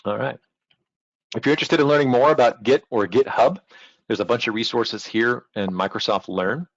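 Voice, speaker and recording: A man's voice: medium pitch, moderate pace, no echo. A man giving an informal, explanatory technical presentation online. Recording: dull lo-fi online-call audio.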